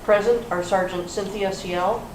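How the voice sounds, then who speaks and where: A skeptical, formal policewoman running an interrogation, read by a woman on stage. Her mid-pitched voice is clipped and procedural, picked up from a distance in an echoey room. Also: steady tone, a little slow, close to an everyday voice. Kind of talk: amateur readers theater reading. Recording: echoey hall, distant mic, faint noise.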